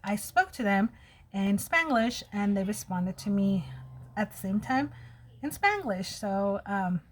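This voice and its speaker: A woman's voice: mid-range voice, animated, unhurried, a little hiss or hum. A woman in her thirties with a slight lisp, chatting casually and openly to her viewers while doing her makeup. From United States. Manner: chatty, open and personal. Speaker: vlogger.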